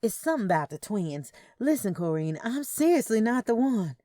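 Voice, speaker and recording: A woman's voice: fairly high pitch, big pitch swings, normal speed, warm tone, dry recording, clean studio sound, voice pushed up. A woman voicing a dramatic, whiny, exasperated pregnant sister in an audio story. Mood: defiant, exasperated.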